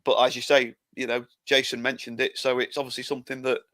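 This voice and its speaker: A man's voice: mid-range voice, little pitch movement, fast talker, fairly bright tone, no echo. An English man co-hosting a football podcast in casual chat, often restarting his sentences. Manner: hesitant, lots of repeated starts. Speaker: podcast co-host.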